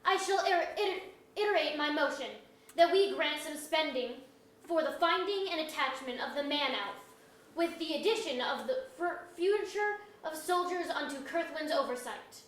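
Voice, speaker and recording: A woman's voice: high-pitched, animated, normal speed, a bit bright. A woman playing an eager, formal elf councillor in archaic phrasing at a staged reading. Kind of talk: staged reading of a verse play. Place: museum auditorium. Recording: very echoey auditorium, far off.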